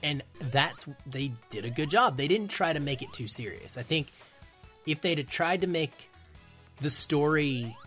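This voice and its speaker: A man's voice: a little high, expressive, unhurried, a bit bright, soft background music. A man talking casually and enthusiastically about films as a podcast guest. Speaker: podcast guest, film critic. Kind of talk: casual film discussion.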